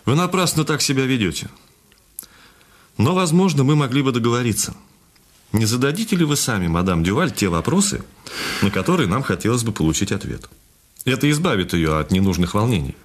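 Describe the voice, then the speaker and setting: An actor playing a polite but persistent police officer in a radio drama, speaking formally. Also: male voice, mid-range voice, big pitch swings, brisk. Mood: conciliatory.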